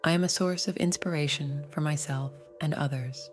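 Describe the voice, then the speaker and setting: A woman narrating a scripted guided meditation in a gentle, soothing, measured way. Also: low voice, faint music behind.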